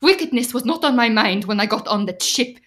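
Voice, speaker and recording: A woman's voice: a little high, lively intonation, brisk, a bit bright. A stern immigrant wife in a radio drama,. She is frightened yet resolute, firm and reproachful as she insists on what is right. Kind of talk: radio play acting.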